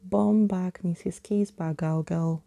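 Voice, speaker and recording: A woman voicing a defiant, sharp-tongued monstrous girl who taunts cheekily in a storybook reading. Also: on the low side, fairly even intonation, slow pace, creaky, no room sound. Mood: threatening.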